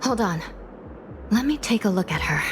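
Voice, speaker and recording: A woman plays a composed, duty-bound judge from a video game. Her medium-pitched voice is measured, firm and formal, with music playing underneath. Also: animated, lightly acted. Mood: calm, grave. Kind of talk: video game dialogue acting.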